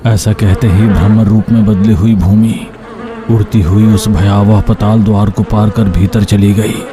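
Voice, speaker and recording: A man's voice: on the low side, flat delivery, measured pace, a crowd in the background. A man narrating a scripted cartoon story. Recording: long hall reverb, background noise.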